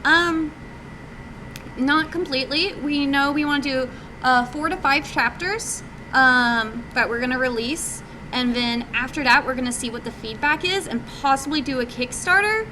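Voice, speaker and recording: Higher-voiced woman in a noisy room. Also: normal speed. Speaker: indie game developer presenting and taking questions. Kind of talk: informal audience Q&A at a meetup. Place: indoor meetup presentation, live. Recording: noisy live room recording, steady hum.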